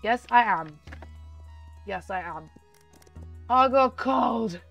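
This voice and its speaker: A young woman with a cold, hoarse and chatty, commenting on a horse game she plays at home into a desk mic. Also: a little high, expressive, slow, fairly bright tone, faint music behind. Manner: chatty, talks to the game and viewers. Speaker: gaming channel host. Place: home room, indoors. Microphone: desk microphone on stand.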